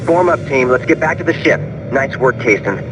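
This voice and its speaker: An actor playing an eager, earnest young rebel squadron leader in a video game. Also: male voice, medium pitch, animated, moderate pace, natural voice. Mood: warm, encouraging. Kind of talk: scripted video game acting. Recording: dull lo-fi game audio under music.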